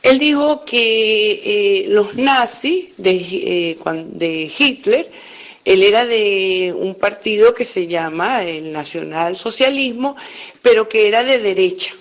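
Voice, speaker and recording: Slow, polite woman asking a question. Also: medium pitch, animated. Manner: polite, hesitant. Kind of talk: polite question in an online class.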